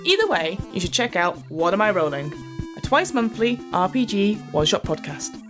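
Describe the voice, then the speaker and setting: A woman's voice: mid-range voice, very animated, moderate pace. A woman delivering a scripted podcast promotional read. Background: music.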